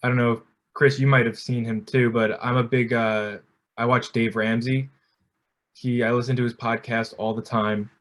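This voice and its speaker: A young man in his twenties speaks low and fairly flat over a video call. He introduces himself in a casual, friendly way, dropping "uh"s as he goes, with little rise and fall in his voice. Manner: casual and friendly, with frequent fillers. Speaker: graduate student panelist. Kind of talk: workshop self-introduction.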